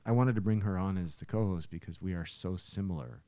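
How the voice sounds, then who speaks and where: A man's voice: low-pitched, a little fast, mellow, no room sound. An American man hosting a podcast, casually telling rambling anecdotes with a sarcastic streak. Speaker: podcast co-host. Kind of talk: casual chat, anecdotal storytelling.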